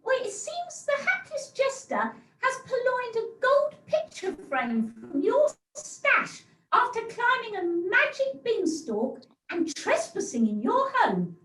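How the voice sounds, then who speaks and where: A commanding, crafty pantomime bargainer, acted by a woman, speaks with theatrical firmness. The pitch swings widely and the home video-call sound is echoey and set back from the mic. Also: wildly swooping pitch, slow pace, few pauses, a bit bright, heavily acted. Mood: brisk, commanding. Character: bossy, bargaining pantomime fixer, firm and sly. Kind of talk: amateur pantomime acting. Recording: roomy, distant home video-call recording.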